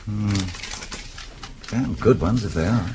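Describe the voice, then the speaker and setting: An actor playing a dry, wry, unflappable husband with an arch, ironic manner in a radio drama. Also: male voice, fairly low pitch, slow pace. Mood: wry amusement. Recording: noisy old radio drama recording.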